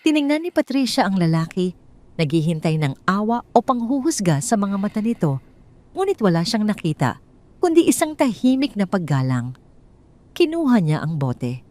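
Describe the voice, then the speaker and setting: A woman's voice: mid-range voice, very animated, normal speed, warm tone. A woman with a Filipino accent narrating a scripted dramatic story in audiobook style.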